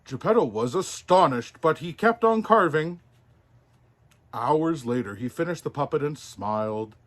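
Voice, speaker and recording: A man narrating a children's storybook as Santa, in an expressive, deliberate way. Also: on the high side.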